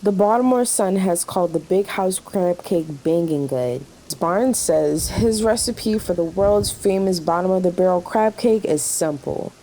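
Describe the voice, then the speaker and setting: A woman's voice: on the low side, a little slow, hardly any pauses, dark tone, slight background noise, low-quality recording. A woman playing a weary, sarcastic diner waitress in an audio drama, with a dry delivery. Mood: dry, formal.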